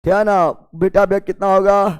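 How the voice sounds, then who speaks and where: A man's voice: high-pitched, level tone, moderate pace, clean tone. A man with a Bihari accent teaching an exam coaching class, repeating key phrases as if dictating and quizzing his students in an informal way. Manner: informal, teasing address to students. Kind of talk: classroom lecture with drilled question and answer.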